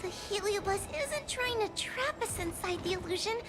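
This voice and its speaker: A woman's voice: extremely high pitch, animated, normal speed, over music. An actress playing a small, anxious and curious female companion in video game style. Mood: puzzled.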